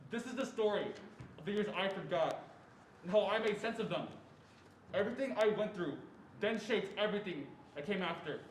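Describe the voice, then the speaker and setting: A young man performing as an adult man who earnestly recalls his fearful boyhood in a deliberate stage reading. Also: high voice, close to an everyday voice. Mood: earnest, reflective. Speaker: student performer reading as narrator. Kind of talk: reader's theatre narration of a first-person memoir. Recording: echoey room with faint noise.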